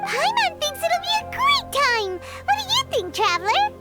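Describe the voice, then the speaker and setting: A woman voicing a tiny, cheeky, childlike fairy companion in an animated fantasy game. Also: normal speed, loud music behind the voice, full character voice. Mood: indignant, eager. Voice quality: squeaky, childlike. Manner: exclamatory, refers to herself by name.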